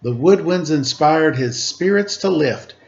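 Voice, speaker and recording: A man reading a rhyming children's picture book aloud in an expressive, playful, sing-song storytelling style. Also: on the high side, a little slow, vocal fry. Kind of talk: animated read-aloud storytelling with rhyming verse. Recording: slightly dull, lo-fi home recording.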